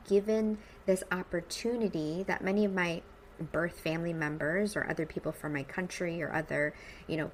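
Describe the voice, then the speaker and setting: An American woman in her forties speaks in a fairly low voice, as if addressing her regular podcast listeners. Her delivery is upbeat, informal and emphatic. Faint ambient noise sits behind the recording. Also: fairly bright tone. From United States, suburban Midwest. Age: 40s. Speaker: podcast host. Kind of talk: personal podcast monologue.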